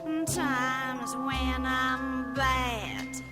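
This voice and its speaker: A sweet, patient little girl puppet on a 1960s children's record. The voice is very high and childlike, extremely slow and gentle, with prominent music behind it. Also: fairly even intonation. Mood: wistful, tender.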